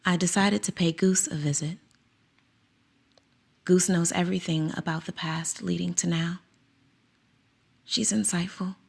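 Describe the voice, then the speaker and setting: A woman's voice: on the low side, steady tone, unhurried, gravelly, pauses often, breathy edge, full character voice. An actress playing a conflicted woman teacher who spies for someone, narrating in first person in a radio drama with an anxious, reflective manner. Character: conflicted woman teacher, a circus school spy. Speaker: narrator and lead character.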